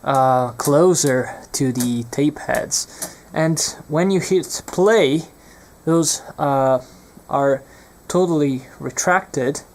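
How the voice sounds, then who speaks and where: Slow, halting young man explaining hands-on. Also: fairly high pitch, a little mellow, a little hiss or hum. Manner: halting, hesitant, searching for words. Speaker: presenter of an electronics teardown. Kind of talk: hands-on demonstration, unscripted explanation.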